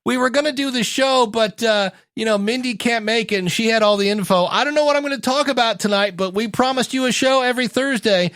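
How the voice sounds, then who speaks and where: A man voicing a flustered, unprepared amateur podcaster who rambles in a scattered, halting way as he wings the show. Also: very high-pitched, a bit bright, clean tone, voice pushed up. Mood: sheepish, breezy.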